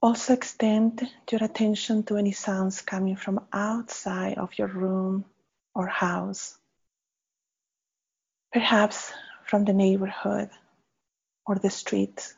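A woman guides a meditation very slowly and gently, pausing between short phrases. Her voice is mellow and slightly husky. The recording is a little dull. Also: medium pitch, flat delivery. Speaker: meditation guide, faculty member. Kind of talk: guided body scan meditation. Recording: slightly dull, muffled audio.

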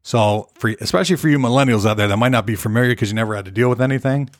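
A hoarse man hosting his own podcast, chatting loosely and rambling from topic to topic. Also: mid-range voice, very fast, no echo, clean studio sound. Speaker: podcast host. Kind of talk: casual solo podcast rambling. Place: Nashville.

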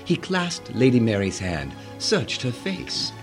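Male narrator, choral radio drama. Theatrical, drawn-out phrasing, extremely varied pitch. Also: sing-song, faint music behind. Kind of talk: dramatic scripted fairy-tale narration.

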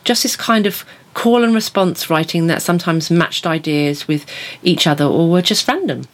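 A woman with a lowish voice that swings widely in pitch delivers blunt, sardonic remarks. She plays an editor in a scripted comedy exchange. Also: expressive, normal speed. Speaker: magazine editor.

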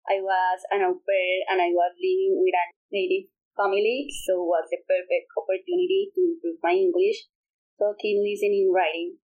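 A woman's voice: medium pitch, normal speed. A young woman from Colombia with a Colombian accent, a native Spanish speaker, answering interview questions in a friendly, plain way. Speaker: guest. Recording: dull lo-fi audio.

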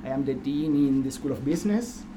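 A man's voice: medium pitch, a little fast. Middle-aged man, dean on a podcast, wry and reflective, audible background noise. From Argentina. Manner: dry self-mocking humor, thoughtful with repeated restarts. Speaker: business school dean. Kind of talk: casual interview answers. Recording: noisy room recording.

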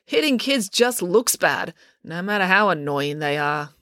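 A woman's voice: on the low side, sing-song, measured pace, a bit bright, dry recording, clean studio sound. A woman voicing an officious small-town police constable, firm, reproving and matter-of-fact.

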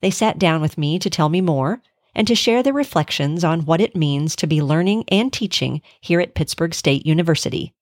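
A woman who hosts a university podcast, reading a scripted intro and interviewing a guest in a measured, friendly way. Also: low voice, animated.

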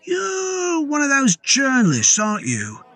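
A man's voice: extremely high pitch, huge pitch swings, measured pace, a bit bright, dry recording, pitched above the performer's own voice, full character voice. A man voicing a curt, wary middle-aged pub landlord in a dramatised horror story. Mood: suspicious. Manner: curt, suspicious.

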